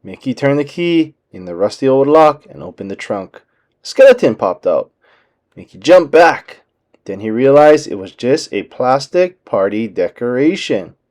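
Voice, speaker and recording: A man reading a children's book aloud as an expressive storyteller. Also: mid-range voice, normal speed.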